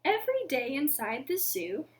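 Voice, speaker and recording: A young woman hosting a children's storytime, speaking to little ones in an animated, deliberate storytelling manner. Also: sits high. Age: young adult. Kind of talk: children's storytelling and action songs. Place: living room at home.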